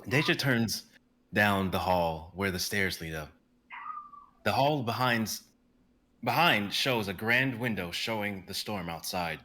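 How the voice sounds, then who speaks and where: A young man narrating the stage directions of a dramatic reading in a steady, descriptive way. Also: lowish voice, takes it slowly. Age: young adult.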